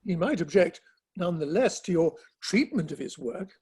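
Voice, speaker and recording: A man playing a cautious, sceptical Victorian gentleman, speaking politely and probingly in a dramatic reading. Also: fairly high pitch, animated, moderate pace, husky. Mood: sceptical, cautioning.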